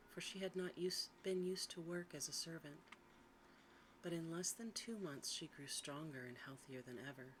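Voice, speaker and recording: A hushed, soft-spoken narrator reads a bedtime tale in ASMR style. The voice sits at a medium pitch and stays quiet and gentle. Clear background noise and ambience run behind the reading. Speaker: narrator, storyteller.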